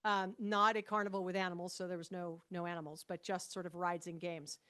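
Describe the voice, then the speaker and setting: A woman in her fifties from Hawaii, a podcast guest telling stories about her past in a relaxed, animated way, with frequent restarts and light laughter. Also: on the low side, brisk, clear, resonant tone. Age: 50s. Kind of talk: conversational podcast interview.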